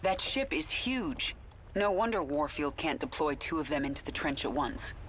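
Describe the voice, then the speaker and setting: A vengeful swarm queen in a video game. She speaks with cool authority in clipped orders. Clear ambient noise sits behind the voice. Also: deep voice, animated, moderate pace. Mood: resolute. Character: commanding, vengeful female queen of an alien swarm.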